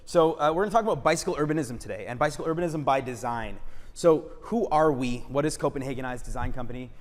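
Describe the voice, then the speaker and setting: A man with a French Canadian accent giving a lecture in an auditorium, brisk and conversational with frequent uhs. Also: mid-range voice. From Montreal, Canada. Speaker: guest lecturer, urban designer. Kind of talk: lecture presentation. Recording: reverberant lecture hall.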